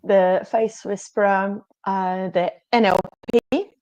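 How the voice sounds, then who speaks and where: A woman guest whose first language is Portuguese speaks earnestly and with emphasis, often agreeing firmly. Her pitch sits in a middle range. The sound is dry, with no room echo, over a video call. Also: steady tone, takes it slowly, darkish tone, clean tone. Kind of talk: casual interview answers.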